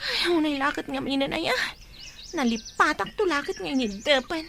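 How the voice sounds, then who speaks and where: A woman's voice: sits high, lively intonation, moderate pace. A woman playing a defiant, irritable young female student in an Ilocano radio drama. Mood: whiny, evasive. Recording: radio drama with music bed.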